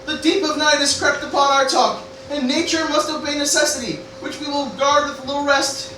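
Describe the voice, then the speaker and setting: A woman's voice: sits high, fairly even intonation, moderate pace, sharp tone. A woman playing an anxious teenage grandson who declaims stammering Shakespearean lines in a comic stage parody. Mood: solemn. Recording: very echoey room, distant mic, noisy.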